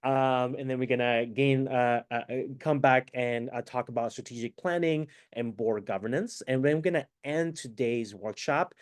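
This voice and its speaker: A man's voice: medium pitch, moderate pace, few pauses, clear, resonant tone. A man from Venezuela leading an online training workshop over a video call, upbeat and interactive in manner. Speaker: workshop facilitator.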